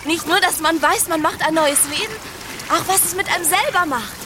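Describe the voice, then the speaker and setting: An actress playing an earnest, intimate young pregnant woman in a German radio drama, speaking in breathy, confiding, deliberate phrases. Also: very high-pitched, background noise. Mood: tender, happy. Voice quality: breathy, often sinking to a whisper.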